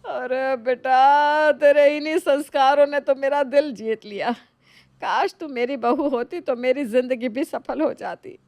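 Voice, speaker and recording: A woman's voice: sits high, measured pace, a little mellow, no echo. A woman playing an older mother-in-law in a moral story, effusive and complaining in an exaggerated way. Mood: doting. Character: nagging older mother-in-law, gushing over niece, scolding her own. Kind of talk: animated moral story dialogue.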